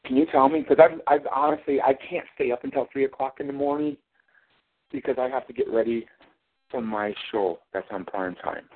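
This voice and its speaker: Mocking gravelly man on a phone line. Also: brisk, slight background noise. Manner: mocking, sarcastic, swings his pitch high to taunt. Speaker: wrestling federation champion cutting a promo. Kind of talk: trash-talking wrestling promo over a call.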